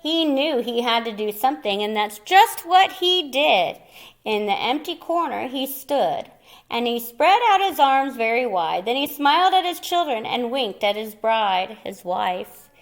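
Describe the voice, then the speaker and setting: Animated, high-pitched woman reading a children's story. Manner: theatrical, playful, dramatic pauses, explains words to young listeners. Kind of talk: expressive children's picture book read-aloud with asides to listeners.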